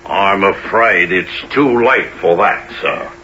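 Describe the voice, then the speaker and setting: Deep, stolid court officer. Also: male voice, slow, sharp tone, exaggerated voice. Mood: stolid, official. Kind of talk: radio play acting. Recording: muffled, noisy radio-play recording.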